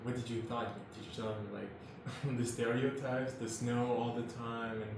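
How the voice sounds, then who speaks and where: A man's voice: medium pitch, little pitch movement, a little slow. A man hosting a casual interview, prompting his guest with questions and laughing easily. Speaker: podcast host. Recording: echoey room, distant mic, background noise.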